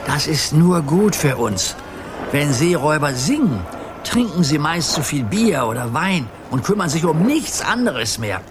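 Grandpa skipper for a children's radio play, swooping fairly high voice, indulgent yet commanding, boat and water sounds behind. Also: male voice, big pitch swings, measured pace, noisy background. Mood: busy, commanding. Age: older. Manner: theatrical nautical commands, indulgent. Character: playful grandfather acting as sea captain, good-natured.

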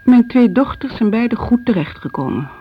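A woman's voice: on the high side, very animated, moderate pace, slightly rough. Fretful older Dutch mother. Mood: troubled, reflective. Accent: Netherlands Dutch. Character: older mother, fretful, judging, wounded by family rifts. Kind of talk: radio drama monologue. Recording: dull radio recording, faint music.

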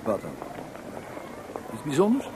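A man's voice: mid-range voice, wildly swooping pitch, unhurried, background noise. A man playing a composer's blunt, cynical friend who teases and mocks, in a Dutch radio play. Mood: needling. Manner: blunt, mocking.

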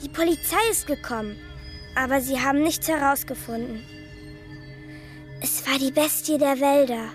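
A little girl of about seven, acted by a woman, with a high, childlike voice. She speaks earnestly and with eerie matter-of-factness, as if telling a story in a radio play, with music behind her. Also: normal speed, long pauses, lightly acted. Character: seven-year-old girl, eerily matter-of-fact storyteller, polite. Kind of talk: radio drama acting.

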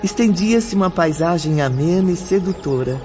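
Male narrator, Brazilian accent, solemn radio drama storytelling, expressive pitch swings, dull recording, prominent music. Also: normal speed. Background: prominent background music.

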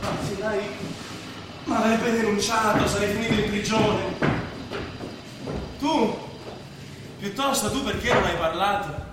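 A man's voice: high-pitched, measured pace, long pauses. An actor playing an impatient, domineering man in an Italian stage drama, speaking in a curt, commanding, theatrical way. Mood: bitter, argumentative. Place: large echoing indoor space, distant microphone. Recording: echoey hall from far off, noisy.

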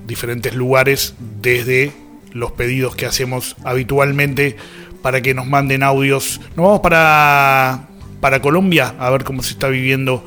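A man's voice: medium pitch, sharp tone. A man with an Argentine accent hosting an informal radio show, thinking aloud conversationally. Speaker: radio host. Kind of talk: casual radio talk with listener messages.

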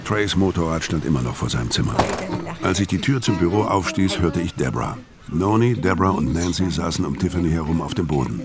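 An actor playing a weary, hard-drinking middle-aged killer on the run in a noir radio drama, bleak and terse, with a low gravelly voice. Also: male voice, lively intonation, fairly quick, a loud crowd. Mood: bleak, brooding. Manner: terse, brooding. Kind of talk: noir first-person radio drama narration and dialogue.

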